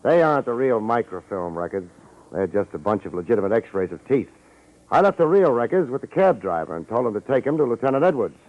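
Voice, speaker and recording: A dull vintage radio recording carries an actor as a tough American male detective. He is wry, slangy and sure of himself. His pitch moves around a lot as he delivers his lines in classic fifties radio crime style. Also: sing-song, fairly quick, natural voice. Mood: sardonic, confident. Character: wisecracking, self-assured tough male private detective.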